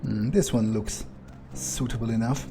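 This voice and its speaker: A man playing a game in character, thinking aloud in a halting, musing way with casual swearing. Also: medium pitch, steady tone, warm tone. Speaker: let's player speaking in character. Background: game music.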